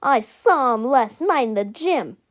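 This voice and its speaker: A smug little schoolboy tattletale played by a woman reader from a children's storybook. He draws his words out in a self-satisfied way, in a high young voice. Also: animated. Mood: accusing. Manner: hesitant, drawn-out, smug.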